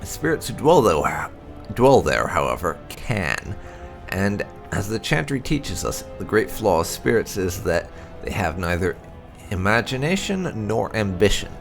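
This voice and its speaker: A man reading game lore aloud in a measured, formal narration style. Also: lowish voice, big pitch swings, creaky, soft background music. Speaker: gaming channel host reading lore entries. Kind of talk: read-aloud narration of fantasy game codex.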